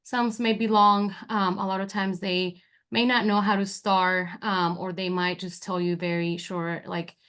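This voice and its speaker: A young woman with a Colombian accent, a forensic interviewer presenting a webinar and explaining training clips in a relaxed way, with frequent fillers. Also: mid-range voice, a little slow, clear, resonant tone. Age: young adult. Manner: relaxed, with many fillers and restarts.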